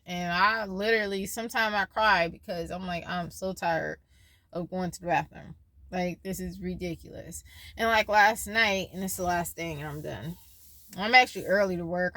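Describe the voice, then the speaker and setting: A woman's voice: lowish voice, fairly bright tone, no echo. A woman in her twenties talking casually in a personal vlog, rambling and losing her train of thought as she shares her worries and her faith. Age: 20s. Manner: worried, faith-filled musing. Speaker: vlogger.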